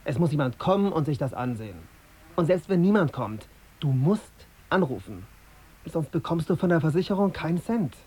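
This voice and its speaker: An actor playing a brooding, irritable young journalist in a radio drama, terse in dialogue and introspective in inner monologue. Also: male voice, fairly high pitch, very animated, moderate pace, warm tone, husky. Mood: exasperated.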